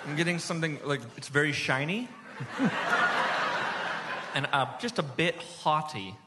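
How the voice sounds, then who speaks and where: A man's voice: fairly high pitch, measured pace. A man performing a live comedy bit on stage as a mock-serious, pompous connoisseur. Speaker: comic resident cheese expert. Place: stage before a live audience. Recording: reverberant hall, loud background noise.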